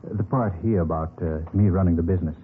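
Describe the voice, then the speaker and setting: An actor playing a gentle, trusting but stubborn elderly businessman in an old radio drama, speaking mildly and hesitantly and repeating himself. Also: low voice, fast, close to an everyday voice. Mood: mild, admiring. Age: older. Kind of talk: vintage radio drama acting. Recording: tinny, noisy vintage radio recording.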